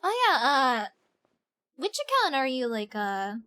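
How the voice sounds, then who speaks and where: A woman's voice: high voice, very animated, measured pace, pushed and bright. A young woman from Ireland chatting casually as she streams a game, informal and slangy, often self-deprecating. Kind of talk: casual live stream chat. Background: game music.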